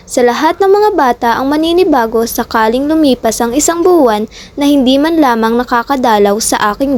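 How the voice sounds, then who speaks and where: A woman narrating a children's story aloud in a steady, expressive way. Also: high-pitched.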